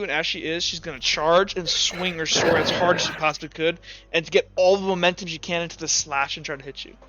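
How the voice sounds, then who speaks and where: Bright, higher-voiced man running a tabletop game. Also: muffled recording. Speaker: game master.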